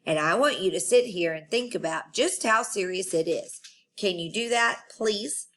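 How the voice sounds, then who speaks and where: A woman reading the part of a calm, stern but kindly male school principal, measured and gently admonishing, in a storybook. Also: medium pitch, lightly acted. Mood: serious.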